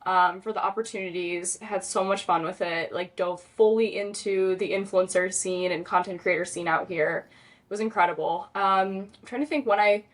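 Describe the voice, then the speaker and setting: A young woman is a guest on a podcast interview and tells a personal story in a loose, chatty way. She rambles a little and fills her sentences with "like" and "um". Her voice sits at an ordinary middle pitch. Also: fairly even intonation, normal speed. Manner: chatty, rambling, lots of "like" and "um" fillers.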